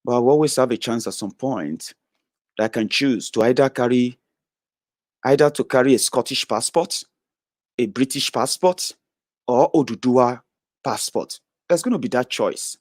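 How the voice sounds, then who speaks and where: A man's voice: moderate pace, no echo, clean studio sound. Blunt, profane man ranting. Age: 30s. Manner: blunt and profane, swinging from loud outbursts to low mutters. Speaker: online political commentator. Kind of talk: ranting monologue to followers.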